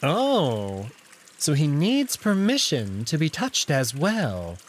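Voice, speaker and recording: A man's voice: fairly high pitch, wildly swooping pitch, slow, slight background noise, pitched above the performer's own voice, heavily acted. A man voicing a sly anthropomorphic jackal noble who talks in a mock-polite, taunting way for a visual novel game. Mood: smug, taunting.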